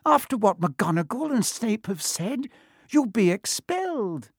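A man voicing an earnest, clever, bossy young schoolgirl in a storybook reading, with a brisk and fretful manner. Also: high voice, big pitch swings, husky, no room sound, studio quality, pitched above the performer's own voice, heavily acted. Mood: indignant.

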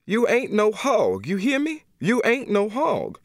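A heavy, grieving old woman from the American South, put on by a man reading aloud, pleads in a high, drawn-out voice. Her words swing widely in pitch and stretch long with sorrow. The sound is close and dry. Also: huge pitch swings, no room sound, heavily acted. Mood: scolding, pleading. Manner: slow, drawn-out, tearful pleading.

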